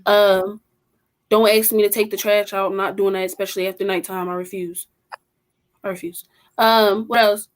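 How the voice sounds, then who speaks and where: A woman's voice: mid-range voice, little pitch movement, normal speed. Blunt young woman speaking her mind. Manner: blunt, emphatic, strong-willed. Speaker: podcast co-host. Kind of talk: casual group discussion.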